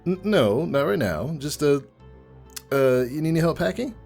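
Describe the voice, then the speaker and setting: A man's voice: fairly high pitch, sing-song, moderate pace, vocal fry, a little mellow, faint music behind, voice pushed up, full character voice. A man reading a fanfic, voicing an awkward, flustered young male pony who stammers.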